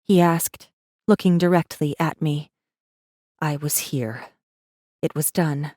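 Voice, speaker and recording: A woman playing a guarded, secretive rebel messenger who narrates an audiobook in an intense, measured first-person style. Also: low-pitched, dark tone, dry recording, studio quality.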